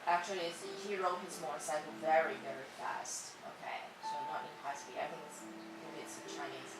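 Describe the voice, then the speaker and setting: Monotone teacher woman in an echoey room. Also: low-pitched, brisk, noisy background. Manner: ends many sentences with a checking "okay?". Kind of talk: classroom feedback. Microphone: far from the mic. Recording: echoey room, far off, loud music behind. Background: loud music.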